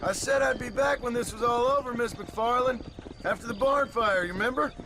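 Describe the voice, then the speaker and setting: An actor playing a gruff, world-weary middle-aged ex-outlaw rancher with an American Western drawl in a video game cutscene. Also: male voice, very high voice, a little fast, music in the background, low-quality recording. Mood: calm.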